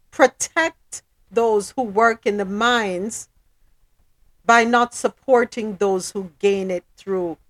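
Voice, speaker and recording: A woman hosting a current affairs talk show, speaking in a measured, deliberate way as she comments and reads reports. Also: mid-range voice. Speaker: host. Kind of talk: news discussion, commentary and reading reports.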